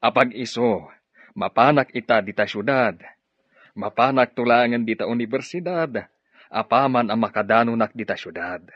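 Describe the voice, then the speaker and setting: A man acting a gentle middle-aged father and professor in Ilocano radio drama, with an Ilocano accent. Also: mid-range voice, normal speed, no echo, lo-fi sound, close to an everyday voice. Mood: pleased. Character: fatherly retired professor and farm owner, gentle.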